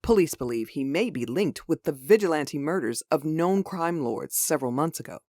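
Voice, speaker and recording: A woman acting in a comic dub as a formal, composed television news reporter with a measured delivery. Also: sits low, lively intonation, a little mellow, no room sound, clean studio sound. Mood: serious, neutral.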